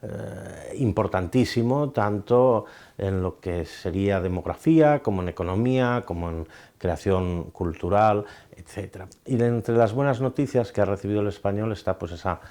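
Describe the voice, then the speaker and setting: A man answering an interview thoughtfully, pausing with fillers as he builds his points. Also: lowish voice, mellow.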